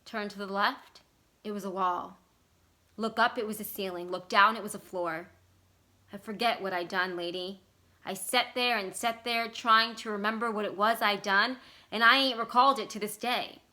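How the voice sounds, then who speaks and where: A calm, brooding convict on the run as a woman reader acts him out for a short story. He talks in folksy rural phrases, slowly turning things over, with a bright, clear-cut edge to the voice. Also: medium pitch, close to an everyday voice. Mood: dreamy brooding. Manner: folksy rural speech, musing and deliberate.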